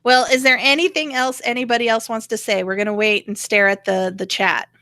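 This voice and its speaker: A woman's voice: on the high side, steady tone, fairly quick, sharp tone, no room sound, clean studio sound. A woman hosting a casual political chat, explaining her opinions as she goes. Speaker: show host.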